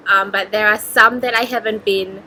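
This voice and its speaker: A woman's voice: mid-range voice, steady tone, moderate pace, sharp tone, a little hiss or hum, poor audio. A woman in her twenties chatting in a casual Q&A vlog, upbeat and chatty with frequent giggles. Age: 20s. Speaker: book vlogger.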